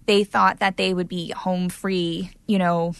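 A woman with a slightly low voice talks through events in a loose, chatty way, leaning on "you know" and "like". The sound is dry and close, with no room around her. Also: flat delivery, unhurried, vocal fry, fairly bright tone, clean tone, no echo. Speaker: court reporter guest. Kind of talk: conversational interview answers.

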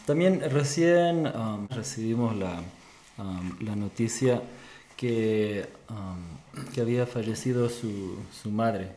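A man interviewing a guest on a podcast, asking his questions in a casual, halting way. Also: on the low side, lively intonation, mellow. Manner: hesitant, halting. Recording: echoey room with background noise.

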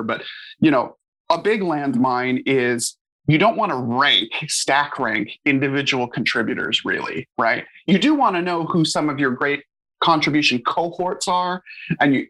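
Man, casual panel speaker, bright tone, explanatory. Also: medium pitch, poor audio. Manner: casual, explanatory, frequent fillers. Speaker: open source association staff member. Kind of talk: conversational panel talk.

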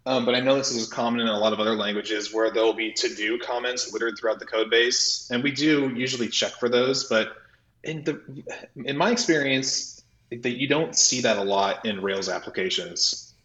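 A man talking casually as a technical podcast guest, halting and often correcting himself as he explains. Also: fairly bright tone. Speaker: podcast guest, software developer. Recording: roomy, slightly dull low-fidelity audio.

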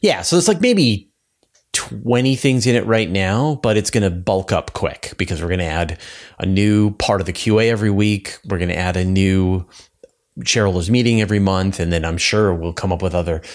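A man's voice: deep voice, moderate pace. A man hosting a podcast in casual, conversational, unscripted talk. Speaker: podcast host.

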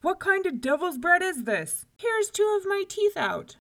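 Woman doing a loud, blustering bully of a giant. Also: mid-range voice, a little slow. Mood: outraged. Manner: shouting, blustering. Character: bellowing, bullying male giant, gluttonous and boastful.

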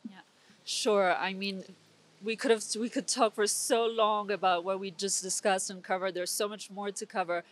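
A woman's voice: mid-range voice, steady tone, moderate pace, poor audio. A young woman from Switzerland, speaking as an interview guest with intense, urgent political commentary and frequent restarts. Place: conference venue.

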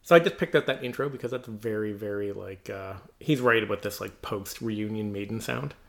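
A man's voice: fairly low pitch, a little fast, vocal fry, fairly bright tone. A man co-hosting a podcast, chatting loosely about music with plenty of fillers and restarts. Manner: loose and rambling, many fillers. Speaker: podcast co-host. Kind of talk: casual chat about heavy metal.

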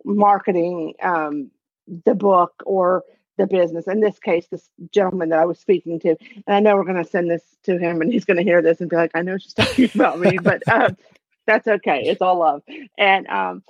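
Husky woman podcast co-host, chatty. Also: on the low side, no echo, muffled recording. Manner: chatty, lots of fillers like "you know". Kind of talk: casual chat.

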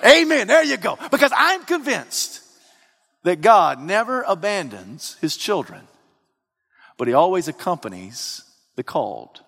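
Animated, gravelly preacher in a big hall. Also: male voice, measured pace. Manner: dramatic, swings from loud excited to low hushed. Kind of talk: sermon. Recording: long reverb of a large hall.

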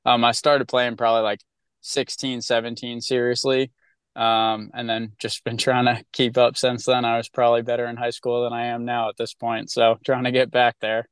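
Flat-toned, smooth-voiced man chatting. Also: medium pitch, moderate pace, no room sound, clean studio sound. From upstate New York. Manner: hesitant, many fillers, laid-back. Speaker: musician guest. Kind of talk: casual interview answers.

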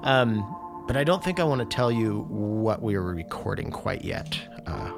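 A man's voice: fairly low pitch, very animated, measured pace, music in the background. Rambling man hosting a podcast. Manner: rambling, filler-heavy, enthusiastic. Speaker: podcast host. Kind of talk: casual podcast intro and interview.

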